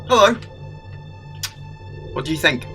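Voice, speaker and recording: A man's voice: fairly high pitch, faint music behind, full character voice. A man playing a whimsical, upbeat alien time traveller with a playful manner in a fan audio drama. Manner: playful, animated, quick shifts.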